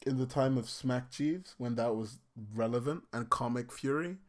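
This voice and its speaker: A young man with a British accent, his first language not English, chatting loosely on a podcast. His voice sits in an ordinary middle register, and he talks in a relaxed, rambling way, swearing casually and breaking into laughs. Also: medium pitch, moderate pace. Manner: laid-back, swears freely, laughs often. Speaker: podcast co-host. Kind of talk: casual chat.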